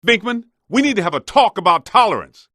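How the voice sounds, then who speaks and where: An actor playing a streetwise, wisecracking ghost hunter in a video game, practical and exasperated, with casual, slangy, punchy delivery. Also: male voice, high voice, sing-song, brisk, bright, forceful tone, dry recording, studio quality, heavily acted.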